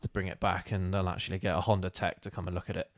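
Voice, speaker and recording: A man's voice: deep voice, little pitch movement, rapid-fire, no echo. Stammering man, dry mic. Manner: stammering restarts, laughs often. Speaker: co-host of a motorcycling channel. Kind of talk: casual live-stream chat.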